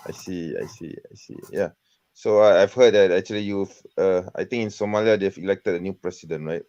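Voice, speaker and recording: A low-pitched man with a Malaysian accent calls in over a dull, lo-fi connection. He asks casual questions in a hesitant way, often repeating his phrases. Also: fairly quick, darkish tone. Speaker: caller. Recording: dull lo-fi call.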